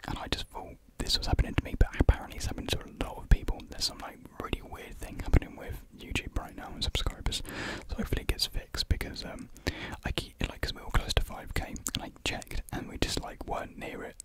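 A young man whispers throughout in a breathy, unvoiced hush. He rambles in an informal, unhurried way, like an ASMR creator chatting to viewers. The microphone is close, on a desk in a small room. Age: young adult. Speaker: ASMR video host. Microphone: desktop USB microphone up close.

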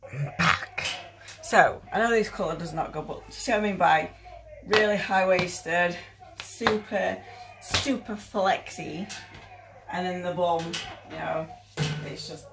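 A woman's voice: lowish voice, noisy background. A woman in her thirties with a British accent, giving a casual, rambling try-on review with plenty of swearing. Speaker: fitness clothing reviewer. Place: small bedroom at home.

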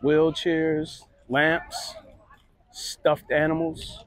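A middle-aged man gives relaxed, chatty walking commentary at an outdoor flea market, recorded on a handheld phone. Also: fairly high pitch, very slow, no echo. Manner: relaxed, chatty, pointing things out. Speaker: vlogger. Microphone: handheld phone camera.

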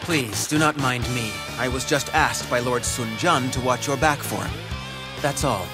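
Composed young male strategist played by a man, mid-pitched, formal, measured, philosophical, game cutscene, loud music underneath. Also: close to an everyday voice. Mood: courteous. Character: composed, shrewd young strategist of ancient China. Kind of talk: dramatic video game dubbing.

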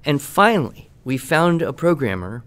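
A man's voice: a little high, big pitch swings, normal speed, a bit bright. A middle-aged American man speaking conversationally on a podcast as a teacher and expert, with an enthusiastic, emphatic manner. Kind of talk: conversational product talk on a podcast.

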